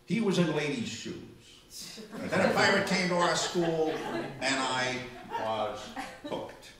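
A man's voice: fairly high pitch, lively intonation, unhurried, crowd noise. A man playing a boastful, drunken pirate captain in a comic stage skit, growling in hearty pirate talk. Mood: boastful, jokey. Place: small library room before a live audience. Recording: echoey room, far from the mic.

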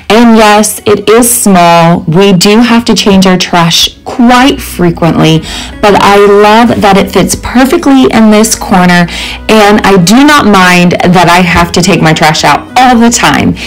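A woman's voice: mid-range voice, low-quality recording. A woman home decor vlogger narrating a voice-over tour of a home.

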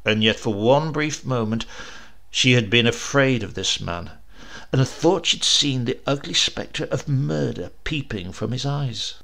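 A man narrating a horror story in a theatrical, expressive way, with deliberate drawn-out pauses. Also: medium pitch, gravelly. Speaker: audiobook narrator.

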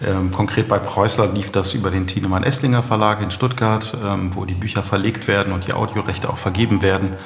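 A middle-aged man explaining things conversationally as an interview guest, halting often with ums. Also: on the low side, fairly even intonation, gravelly, slight background noise. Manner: hesitant, halting delivery with frequent ums. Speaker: company founder, interview guest. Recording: echoey room, long reverb.

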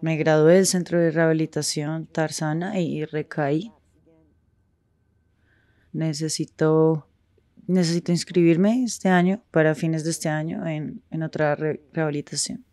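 A woman speaking frankly in a street interview, with halting pauses and restarts. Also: sits low, fairly even intonation, mellow, no room sound.

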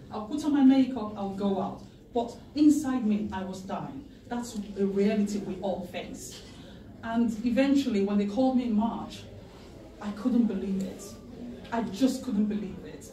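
A middle-aged woman giving a heartfelt personal testimony at a lectern, earnest and emotional, pausing to gather herself. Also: mid-range voice, warm tone. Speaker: patient campaigner giving a speech. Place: wood-panelled meeting room, indoors, at a lectern. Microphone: far camera in the audience. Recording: echoey room from far off, faint ambience.

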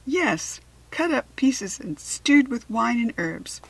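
An earnest young farm girl in a children's storybook, acted by a woman reader. Her voice has a husky edge. She speaks emphatically, sounding caring and anxious. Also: on the high side, big pitch swings, slow pace, voice pushed up, exaggerated voice, poor audio. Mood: worried, earnest. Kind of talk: children's storybook character reading.

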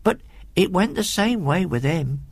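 Storybook housekeeper, played by a man: older, kindly, gossipy servant woman, pitched up, rustic phrasing. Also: lively intonation, measured pace, gravelly, breathy edge, dry recording, heavily acted. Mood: sighing, gossipy. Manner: chatty, folksy, dialect grammar.

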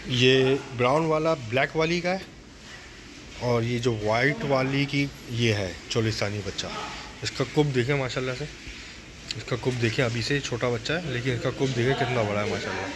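A man's voice: medium pitch, moderate pace, noisy background. A man with a Pakistani accent casually narrating a farm tour as a vlogger, explaining things simply with some repetition.

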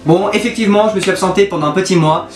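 A man's voice: high voice, rapid-fire. A man, chatting casually to camera in a playfully exasperated, teasing manner. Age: early twenties. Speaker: YouTuber hosting a prank video. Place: small bedroom, indoors. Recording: roomy echo, music behind.

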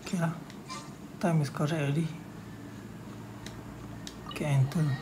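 A woman's voice: deep voice, fairly even intonation, normal speed. A woman, casually commenting on a game in short muttered phrases, on a noisy recording. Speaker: gamer doing a walkthrough. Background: game sounds.

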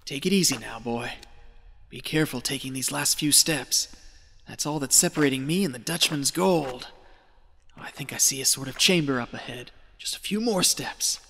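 A man's voice: medium pitch, moderate pace, creaky, a bit bright. A man acting a greedy, half-mad treasure hunter in an audio drama, talking to himself in folksy exclamations. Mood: wary, muttering. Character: feverish, greedy male treasure hunter descending into madness. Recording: echoey cave reverb with music behind.

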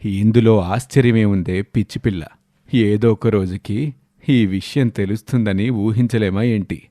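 Scheming lawyer uncle for a story drama, played by a male narrator: middle-aged, low-pitched, smug, mocking. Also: steady tone, a little slow, dark tone, dry recording, studio quality, lightly acted. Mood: smug, taunting. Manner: taunting, self-assured.

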